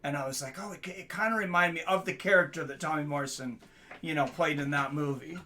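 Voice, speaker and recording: A man's voice: fairly high pitch, fast, sharp tone, slight background noise. A man hosting an interview, chatty and a little hesitant, agreeing often. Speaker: interview host. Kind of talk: casual interview questions.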